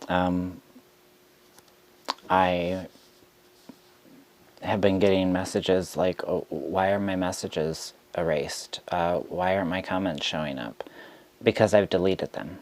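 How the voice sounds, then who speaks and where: A man who reads tarot, speaking straight to camera in a frustrated rant, deliberate and halting with frequent ums. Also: sits low, steady tone, darkish tone. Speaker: tarot reader.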